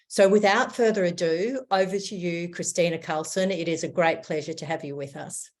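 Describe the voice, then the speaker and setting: A woman giving a measured, formal introduction as host of an online session. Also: lowish voice, darkish tone. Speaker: host introducing a guest speaker.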